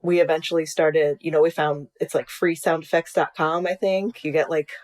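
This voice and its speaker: A woman's voice: sits low, steady tone, normal speed, darkish tone. A woman talking casually as an interview guest about her creative work, over a video call. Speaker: podcast creator being interviewed.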